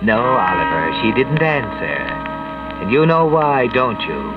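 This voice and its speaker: An actor playing an ominous, taunting, all-knowing narrator of a 1940s radio mystery, speaking straight to the guilty character. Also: male voice, mid-range voice, very animated, unhurried. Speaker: narrator addressing the main character. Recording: muffled distant 1940s radio, loud music.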